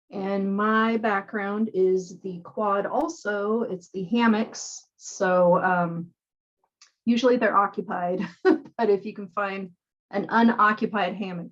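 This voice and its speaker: A woman speaking at a middling pitch over dull, lo-fi call audio. She is upbeat and laughs easily, introducing herself as an advisor on a webinar. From California. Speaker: academic advisor.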